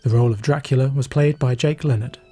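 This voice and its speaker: A man's voice: medium pitch, fairly even intonation, fast talker, dark tone. A man reading a scripted announcement as the credits announcer of an audio drama. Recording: string music behind the voice.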